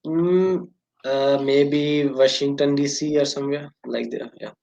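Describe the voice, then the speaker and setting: A man's voice: a little high, fairly even intonation, unhurried, gravelly, darkish tone, slight background noise. A young man from India with an Indian English accent, answering in short, hesitant phrases full of fillers while practicing English on a video call. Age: young adult. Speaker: student. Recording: dull lo-fi call audio.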